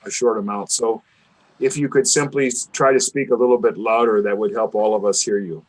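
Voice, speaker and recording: A man's voice: fairly low pitch, steady tone, brisk, low-quality recording. A man hosting a webinar discussion over a video call, speaking formally and precisely in a lecturing way. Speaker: webinar host.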